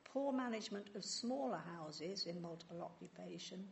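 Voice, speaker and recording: Gravelly-voiced woman councillor speaking. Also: fairly low pitch, lively intonation, normal speed, a little hiss or hum. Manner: hesitant, restarts words, earnest. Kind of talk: formal council meeting debate.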